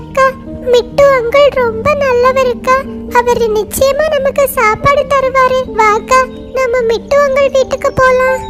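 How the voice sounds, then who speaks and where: A woman's voice: very high voice, steady tone, moderate pace, a bit bright, faint music behind. A woman voicing a small, frightened boy chick in a cartoon, with a whiny, pleading child voice. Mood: worried. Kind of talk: cartoon voice acting.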